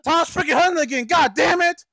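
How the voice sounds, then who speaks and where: High-pitched, exasperated streetwise cartoon mouse by a man. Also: a little fast, vocal fry, bright, forceful tone, dry recording, studio quality, heavily acted. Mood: alarmed, concerned. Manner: slangy, cursing, scolding. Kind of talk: amateur comedic cartoon fandub.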